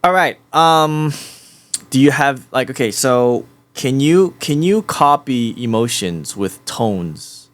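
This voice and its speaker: A man's voice: medium pitch, expressive, slow. A man chatting casually with an AI voice assistant, rambling and repeating himself as he rephrases his questions. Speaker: host.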